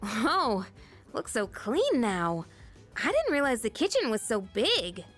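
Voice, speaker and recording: A woman's voice: on the high side, wildly swooping pitch, slow, a bit bright, soft background music, full character voice. A woman voicing a sassy, practical teenage girl in a game, casual and blunt. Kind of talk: game voice acting.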